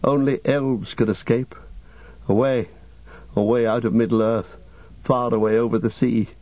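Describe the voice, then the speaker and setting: A man's voice: lowish voice, lively intonation, unhurried, slightly rough, no room sound, highs cut off. A man voicing a wounded, despairing young hobbit in an audiobook, anguished and breathless, veering from weary to frantic. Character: wounded, despairing, feverish young male ring-bearer hobbit.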